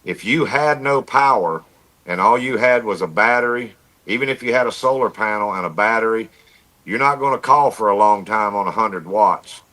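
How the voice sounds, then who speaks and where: A man's voice: lowish voice, moderate pace, sharp tone. A plainspoken man with a folksy manner, telling stories casually in a livestream chat and repeating himself as he goes. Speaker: amateur radio operator, livestream guest.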